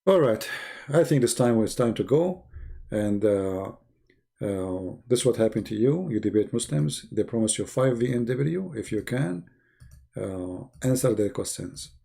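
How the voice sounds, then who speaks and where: Male livestream host, gravelly and mellow-toned, rambling mocking banter. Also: on the low side, animated, unhurried. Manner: mocking, rambling, joking asides. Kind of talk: casual livestream chat.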